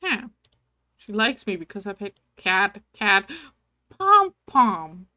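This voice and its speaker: Slow-talking woman streamer, dry close sound. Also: medium pitch, very animated, sharp tone, no echo, dull audio. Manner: reads game text aloud between musing asides; coos affectionately at game pets. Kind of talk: casual game-stream commentary.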